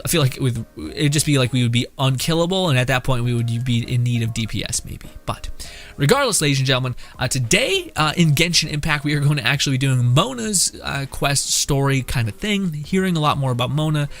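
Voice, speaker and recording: A man's voice: medium pitch, brisk, fairly bright tone, soft background music. A young man giving casual, rambling, joking let's-play commentary as a gaming streamer.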